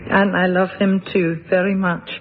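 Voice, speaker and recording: An actress playing a kind, cheerful young schoolteacher in a radio drama, with expressive delivery. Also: female voice, on the low side, normal speed, phone-quality sound, close to an everyday voice.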